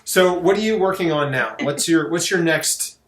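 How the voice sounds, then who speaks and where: A man's voice: fairly high pitch, moderate pace, a little hiss or hum. A middle-aged man chatting informally and anecdotally as an interview host. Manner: informal, anecdotal, punctuated with "you know". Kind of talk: casual conversational interview. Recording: roomy, echoing sound.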